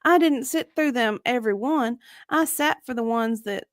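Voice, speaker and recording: A woman's voice: fairly high pitch, moderate pace, dry recording, studio quality, natural voice. A woman reading the part of a guarded, evasive witness in a dramatic deposition reading, with careful, hedging answers. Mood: evasive, earnest. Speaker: dramatic reader.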